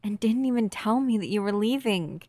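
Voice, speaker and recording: A woman playing a protective, upset human lover in an ASMR roleplay, stern yet often softly whispery. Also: medium pitch, moderate pace, no echo, lightly acted. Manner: soft, breathy near-whispers mixed with stern scolding.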